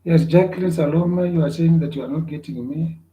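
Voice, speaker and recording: A man's voice: a little high, brisk, echoey room, muffled recording. A man giving an online class lecture, repeating himself often and checking whether his students can hear him. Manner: repetitive, checks on listeners.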